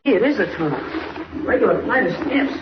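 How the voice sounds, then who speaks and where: Keen wide-eyed american kid sidekick, high voice, muffled 1940s radio drama. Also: male voice, big pitch swings, a little fast. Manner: boyish, wide-eyed, eager. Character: eager, excitable boy sidekick. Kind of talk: 1940s radio serial acting. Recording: muffled, very noisy old radio recording.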